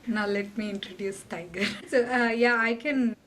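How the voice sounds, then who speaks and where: A woman's voice: on the high side, moderate pace, a bit bright. A woman hosting a casual interview, asking questions hesitantly and rephrasing them as she goes. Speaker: host.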